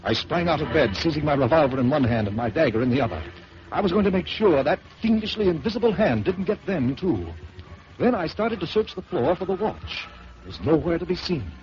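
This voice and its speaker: A man's voice: fairly high pitch, big pitch swings, darkish tone, faint music behind. Theatrical man reading an old radio ghost story. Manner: measured, theatrical dramatic reading. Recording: muffled old radio broadcast with hiss.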